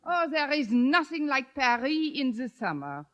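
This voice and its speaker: A woman's voice: high voice, very animated, normal speed, fairly bright tone, dull audio. An actress playing a wry, playful schoolteacher in a 1950s radio comedy, delivering her lines theatrically. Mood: mock-grand. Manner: theatrical, puts on comic European accents. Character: wry, playful female schoolteacher hamming up a travel pitch. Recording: noisy, crackly 1950s radio recording.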